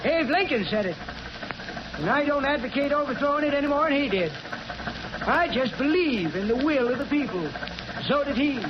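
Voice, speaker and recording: An actor playing a cantankerous, folksy, argumentative small-town anarchist in a 1940s radio drama. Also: male voice, extremely high pitch, expressive, moderate pace. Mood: preaching, defiant. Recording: noisy muffled 1941 radio recording.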